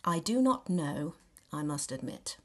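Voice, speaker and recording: A woman reading a novel extract aloud in an expressive, theatrical and measured storytelling style. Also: low-pitched. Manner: theatrical, measured storytelling with dramatic emphasis and hushed dips. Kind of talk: expressive scripted audiobook narration.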